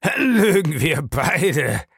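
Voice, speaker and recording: A man voicing a shady, pushy male schemer in an audiobook, insistent, ironic and scornful, with a gravelly voice. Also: mid-range voice, animated, moderate pace, no room sound, clean studio sound, pitched above the performer's own voice. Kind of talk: audiobook character dialogue.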